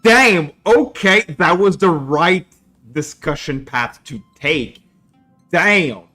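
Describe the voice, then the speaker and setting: A man streaming a game, reacting in an excited, exclamatory way. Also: fairly high pitch, slow, soft background music. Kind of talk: casual gaming commentary.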